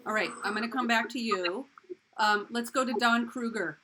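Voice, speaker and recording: A woman running an online group call, calling on people by name in a brisk way and laughing easily. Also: fairly high pitch, bright, forceful tone, a little hiss or hum. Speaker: call organizer. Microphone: video call.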